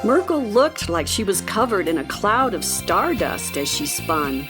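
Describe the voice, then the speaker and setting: Unhurried, expressive woman storyteller. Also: mid-range voice. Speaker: narrator. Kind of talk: scripted children's story narration. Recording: music playing behind.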